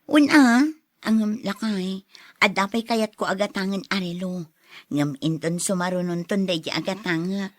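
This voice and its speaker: An actress with an Ilocano Filipino accent playing an affectionate, hopeful wife in a radio drama. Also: female voice, medium pitch, unhurried, no echo, clean studio sound, lightly acted. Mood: content. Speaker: actor in an Ilocano audio drama. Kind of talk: acted radio drama dialogue.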